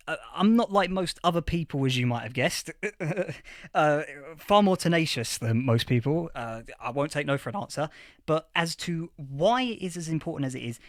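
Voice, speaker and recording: Casting: male actor for eager academic, flustered podcast interviewee, stammering and excitable, very animated pitch, dry recording. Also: moderate pace, no echo, close to an everyday voice. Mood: earnest, lecturing. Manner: stammering, excitable, repeats words. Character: eager, flustered male history professor of a far-future age. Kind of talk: fiction podcast interview acting.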